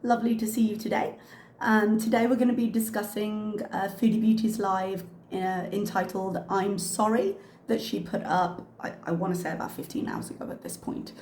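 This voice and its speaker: A woman's voice: mid-range voice, little pitch movement, moderate pace, a little mellow. A woman hosting her own commentary channel, talking frankly and chattily with plenty of ums and uhs. Her voice is a little worn from a lingering cough, and she has a slight lilt. It sounds like it was recorded in an echoey room with a faint hum of ambience behind her. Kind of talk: casual reaction commentary.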